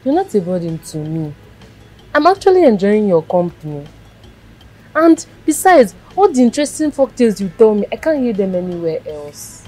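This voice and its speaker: An actress playing a devoted young Nigerian granddaughter, earnest and respectful. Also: female voice, medium pitch, sing-song, moderate pace, dark tone, slightly rough, soft background music, rough recording. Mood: tender.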